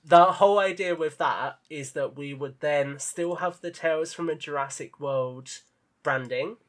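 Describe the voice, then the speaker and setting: A man chatting casually as a podcast guest, talking enthusiastically about his writing and quick to laugh. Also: fairly high pitch. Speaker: podcast guest, audio drama writer and narrator. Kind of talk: casual chat.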